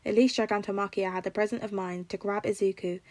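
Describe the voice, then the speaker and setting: A woman's voice: medium pitch, steady tone, fast, a little mellow, no room sound. A woman reading a scripted story aloud as a fiction narrator. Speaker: podfic narrator.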